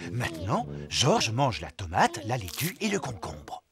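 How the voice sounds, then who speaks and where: A man giving clear, scripted narration for a children's cartoon. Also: mid-range voice, huge pitch swings, a little slow, crowd noise. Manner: clear, storytelling tone. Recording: cartoon soundtrack with music.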